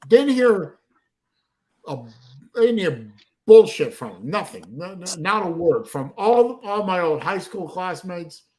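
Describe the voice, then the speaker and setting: A man's voice: sits high, big pitch swings, takes it slowly, darkish tone. A middle-aged man from Florida hosting a casual livestream chat, blunt and rambling, swearing freely. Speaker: livestream host.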